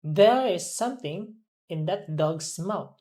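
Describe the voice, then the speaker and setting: Woman, language learner, very slow pace, animated pitch, careful word-by-word repetition. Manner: careful, halting word-by-word delivery. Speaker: English pronunciation student. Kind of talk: repeating drill words and reading practice sentences.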